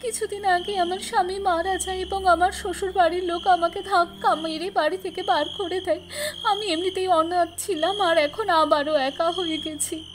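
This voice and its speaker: A woman's voice: very high voice, fairly even intonation, normal speed, background noise. A woman voicing a sorrowful, grateful young widow who speaks pleadingly in a cartoon story. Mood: plaintive.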